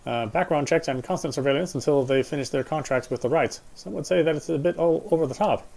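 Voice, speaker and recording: A man reading a game character's story narration aloud, sometimes stumbling and rereading, with music quietly behind him. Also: a little high, fast talker, gravelly.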